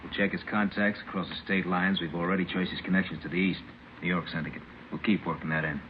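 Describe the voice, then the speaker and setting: An actor playing a businesslike federal narcotics agent in an old radio drama, clipped and matter-of-fact. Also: male voice, low voice, steady tone, fast talker, close to an everyday voice. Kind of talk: 1950s radio acting. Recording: noisy, dull old radio recording.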